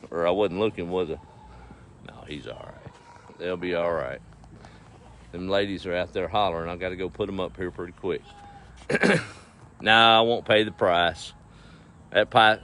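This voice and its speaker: A man's voice: lowish voice, a bit bright. A middle-aged man with an East Texas accent chatting casually on a farm livestream, folksy and easygoing, talking to his animals and viewers. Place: outdoors on a farm. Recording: faint outdoor background noise.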